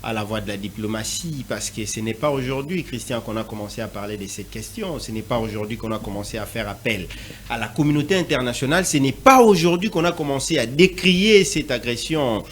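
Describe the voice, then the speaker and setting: A man's voice: medium pitch, expressive, brisk, slight background noise. A man from DR Congo speaking as a panelist in a televised debate, pushing rhetorical questions with growing intensity.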